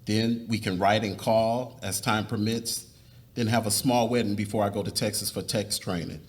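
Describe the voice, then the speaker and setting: Man reading as an earnest young suitor, lowish voice. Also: fairly even intonation, normal speed, lightly acted. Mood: persuasive. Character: earnest, devout young suitor proposing marriage as a deal. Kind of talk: live dramatic reading of a novel. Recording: noisy live room recording.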